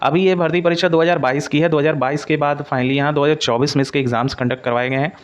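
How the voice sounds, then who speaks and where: A man's voice: on the high side, fairly even intonation, fast. A man giving an informal explanatory update as a coaching presenter, mixing in English words and repeating points for emphasis. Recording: dull, lo-fi audio.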